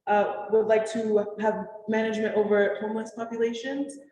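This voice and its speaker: A woman leading a public meeting, speaking loudly as she summarizes what each person said. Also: fairly high pitch, level tone, normal speed, slight background noise. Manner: loud, summarizing others' points. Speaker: meeting facilitator. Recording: echoey hall, voice from far off.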